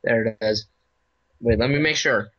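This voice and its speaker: Excitable teen friend on a call, teasing, crisp bright voice with no room echo. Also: male voice, mid-range voice, moderate pace, muffled recording. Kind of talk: casual banter.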